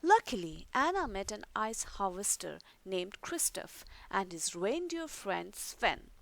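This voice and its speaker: A woman reading a children's story aloud in an expressive, deliberate storytelling manner. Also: mid-range voice, gravelly, dry recording. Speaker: storybook reader.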